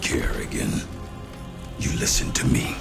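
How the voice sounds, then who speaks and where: A tough older general in a game cinematic, gruff and commanding over loud music. His delivery is slow and emphatic, with dramatic swings in pitch. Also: sing-song. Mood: wounded, pleading. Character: tough, defiant older military general, self-sacrificing. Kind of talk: scripted video game cinematic acting.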